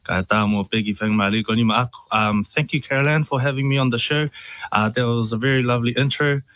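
A man, a musician guest on a radio interview, relaxed and friendly with lots of ums and yeahs. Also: medium pitch, fast talker, no echo, studio quality. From New Zealand.

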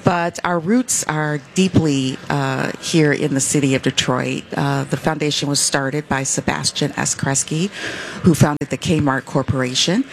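Someone from west michigan answering interview questions, steady mid voice, lots of uh while thinking. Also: medium pitch, vocal fry, slight background noise. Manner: measured, many "uh" fillers while explaining. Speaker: foundation representative, guest.

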